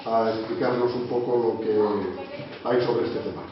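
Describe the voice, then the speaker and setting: A speaker welcoming people to a public talk, halting and filling gaps with "bueno, pues". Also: medium pitch, little pitch movement, crowd noise. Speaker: association organizer opening the event. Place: meeting room of a local association. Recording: echoey, muffled room recording from a distant mic with loud noise.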